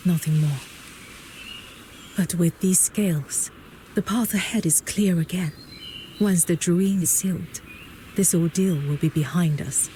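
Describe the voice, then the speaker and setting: A mellow, lowish woman-acted game proprietress, poised and gracious. She speaks formally and keeps herself guarded, with faint noise behind her. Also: natural voice. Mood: gracious, weary. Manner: formal, measured, reserved. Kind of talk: video game character voice acting.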